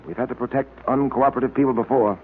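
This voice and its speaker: An actor playing a stern, insistent detective with a firm, probing manner in old-time radio drama. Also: male voice, medium pitch, fast, slightly rough, lightly acted. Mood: concerned, resolute. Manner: firm, interrogating. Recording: tinny, hissy old-time radio recording.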